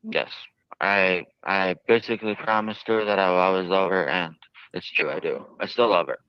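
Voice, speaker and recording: A man giving interview answers in a halting, earnest way with stiffly formal phrasing. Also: deep voice, fairly even intonation, clear, resonant tone, no room sound. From United States. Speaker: jail inmate being interviewed. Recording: dull lo-fi archived interview audio.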